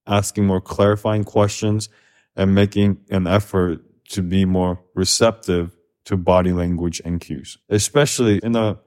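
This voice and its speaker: A man's voice: on the low side, darkish tone. A man from Korea co-hosting a podcast, talking frankly and loosely with restarts. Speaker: podcast co-host. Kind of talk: casual conversational podcast.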